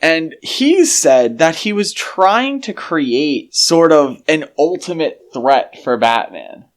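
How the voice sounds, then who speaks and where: A man's voice: fairly high pitch, sing-song, slow pace. A man co-hosting a podcast, talking casually in a conversational discussion. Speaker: podcast co-host.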